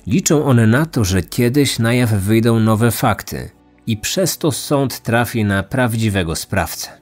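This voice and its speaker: A man's voice: medium pitch, lively intonation, a little slow, soft background music. A man hosting a true crime podcast, reading scripted documentary narration.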